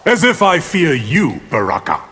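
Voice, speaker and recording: An actor voicing a cold, scheming, arrogant sorcerer in a video game, haughty and deliberate. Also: male voice, fairly high pitch, huge pitch swings, loud music behind the voice. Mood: scornful. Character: cold, scheming, arrogant male sorcerer, power-hungry villain. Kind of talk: video game villain dialogue.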